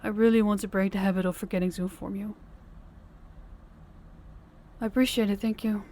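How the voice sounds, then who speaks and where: A young woman voicing a tired, tender working girlfriend in an audio roleplay, speaking softly and intimately, often close to a whisper. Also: medium pitch, steady tone, brisk, slight background noise, natural voice. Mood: tired, affectionate. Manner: soft-spoken, intimate, often near a whisper. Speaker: voice actor.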